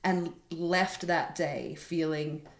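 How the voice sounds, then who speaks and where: A woman's voice: low-pitched, fairly even intonation, slow pace. A woman chatting casually as a producer in a round-table conversation. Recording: echoey room.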